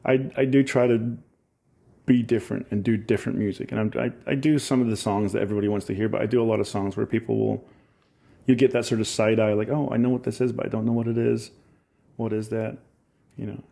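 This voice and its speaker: A man in his forties with a Scottish accent softened by years in Ohio, a musician chatting in an interview and telling rambling, enthusiastic stories with self-mocking laughs. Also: lowish voice, level tone, fast talker, creaky. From Scotland. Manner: rambling, enthusiastic storyteller who laughs at himself. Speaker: musician guest. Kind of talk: casual interview chat.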